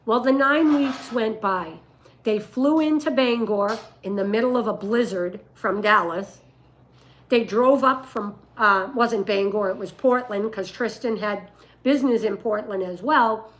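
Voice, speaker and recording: Slow-talking older woman with a rough voice. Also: mid-range voice, low-quality recording. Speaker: dog breeder and livestream host. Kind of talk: casual livestream storytelling and advice.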